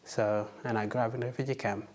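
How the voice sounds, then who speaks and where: A man's voice: mid-range voice, flat delivery, quick pace, a little mellow, a little hiss or hum. A young man from South Sudan, a medical student, earnestly telling his story in a casual interview.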